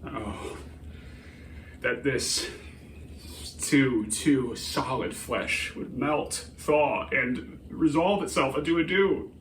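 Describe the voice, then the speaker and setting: A man playing a grieving, embittered prince in a theatrical Shakespearean soliloquy, halting and declamatory, moving between hushed brooding and anguished outcry. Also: medium pitch. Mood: weary despair. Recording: roomy, distant microphone, faint noise.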